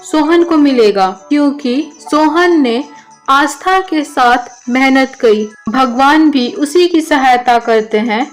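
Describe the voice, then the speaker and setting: A woman voicing a fair, wise male village headman in a children's moral cartoon story, speaking in a measured, instructive way. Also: high-pitched, faint music behind.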